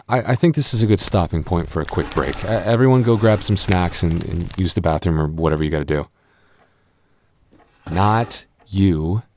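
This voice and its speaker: Very deep-voiced exasperated young dungeon master man acting. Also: darkish tone, slight background noise. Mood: flustered. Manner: exasperated, hesitant, sighing. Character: exasperated young male dungeon master, tsundere childhood friend. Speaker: game master. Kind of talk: ASMR audio roleplay acting.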